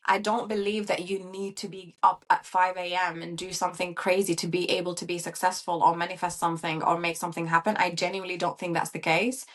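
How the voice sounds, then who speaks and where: A woman from Syria with a fairly low voice, speaking as a podcast guest. She talks in a chatty, animated way and keeps adding little fillers as she goes. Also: fast, hardly any pauses. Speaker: guest, coach. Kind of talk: casual interview chat.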